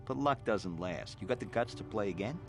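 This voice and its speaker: An actor playing a cocky male card-game gambler in a video game, talking in a gruff, challenging way. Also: fairly low pitch, lively intonation, fairly quick, faint music behind, exaggerated voice. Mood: taunting. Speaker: game character.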